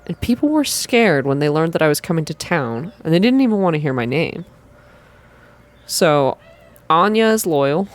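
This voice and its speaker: A young adult reading a visual novel aloud in a soft ASMR style, close to the microphone, with informal asides between passages. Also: medium pitch. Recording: clear background noise.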